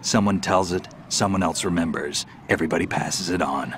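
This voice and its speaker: A man playing a weary, hardened legendary soldier in a video game, speaking tersely with a gruff, gravelly voice and stoic calm. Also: low-pitched, brisk, a little hiss or hum. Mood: stoic, resolute. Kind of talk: video game cutscene dialogue.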